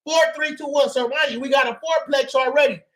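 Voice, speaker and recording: Man, very high voice, bright and forceful, loud lecture style, keeps checking the chat is with him, voice getting strained. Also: fairly even intonation, brisk. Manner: loud, often yelling, repeats phrases, asks the audience for replies. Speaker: livestream real estate teacher. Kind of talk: fired-up livestream lecture.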